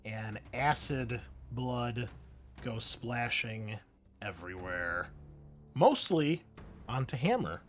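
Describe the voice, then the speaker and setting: A man's voice: medium pitch, lively intonation, takes it slowly, a bit bright. A man working as game master, narrating and refereeing a tabletop roleplaying game in an improvised, conversational way. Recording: background music under the voice.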